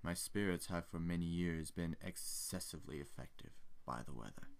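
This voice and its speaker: Man performing a haunted older gentleman, low gravelly voice, measured and formal, melancholy, theatrical ghost-story monologue. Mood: brooding, reflective. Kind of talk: dramatic monologue reading from a stage play.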